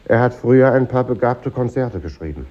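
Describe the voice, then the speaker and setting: An actor playing a brooding, embittered composer in a 1950s German radio drama, with a dark, husky voice and terse delivery. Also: male voice, medium pitch, lively intonation, fairly quick, loud music behind the voice, lightly acted. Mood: bitter. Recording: muffled old radio recording.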